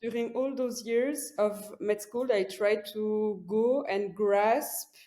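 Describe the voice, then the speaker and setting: A young woman with a Belgian French accent, speaking English as a second language after French. She talks softly and thoughtfully, often pausing on "uh" as she looks for words. Her voice sits in a middle pitch. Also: fairly even intonation. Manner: soft-spoken, searching for words with frequent "uh"; laughs lightly while speaking. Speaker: guest psychiatrist. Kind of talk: casual interview talk.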